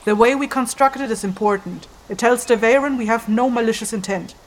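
A woman playing an assured, stern ritual caster in a fantasy audio drama, measured and teacherly. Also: on the high side, natural voice. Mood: instructive, calm.

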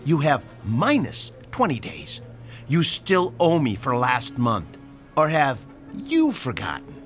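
비꼬는 나이 든 집주인, 밝은 목소리. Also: male voice, mid-range voice, huge pitch swings, takes it slowly, soft background music, full character voice. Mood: lecturing. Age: older. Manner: sarcastic, lecturing, theatrical swings from shouting to hushed. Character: pushy older male landlord, sarcastic and nagging. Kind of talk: radio drama acting.

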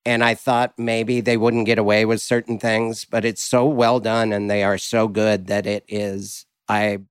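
A man co-hosting a podcast, bantering casually with dry, deadpan jokes. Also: on the low side, a little slow, clear, resonant tone, dry recording. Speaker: podcast co-host.

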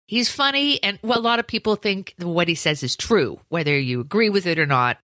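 Woman podcast co-host, middle-aged, low-pitched, bright and forceful tone, dry close sound, deadpan quips. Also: fairly quick, no echo. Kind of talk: casual chat, interviewing.

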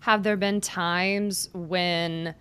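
A woman's voice: on the low side, fairly even intonation, extremely slow, pushed and bright, clean tone. A woman hosting a sports podcast as a beat reporter, introducing and interviewing her guest with bubbly enthusiasm. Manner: enthusiastic and bubbly, gushes with praise.

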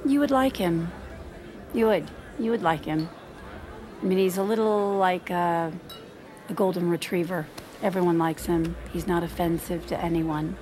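A woman's voice: on the low side, moderate pace, darkish tone, some chatter behind, natural voice. An actress playing a hesitant, candid working mother who speaks self-consciously, in a naturalistic audio drama. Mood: fond, reflective.

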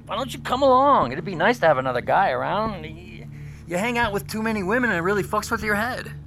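Chummy, menacing male abductor, higher-pitched. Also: animated, fairly bright tone, soft background music. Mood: chummy, coaxing. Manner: chummy, coaxing, unhurried menace. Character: sinister, falsely friendly male abductor, calmly menacing. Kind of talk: audio fiction acting.

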